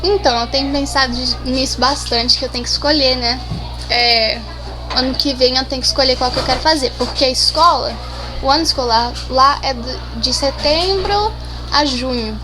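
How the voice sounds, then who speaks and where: High-voiced teen girl, hesitant chat. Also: moderate pace, low-quality recording. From Brazil. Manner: shy, hesitant, many restarts and fillers. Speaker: interview guest. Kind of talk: casual conversational answers.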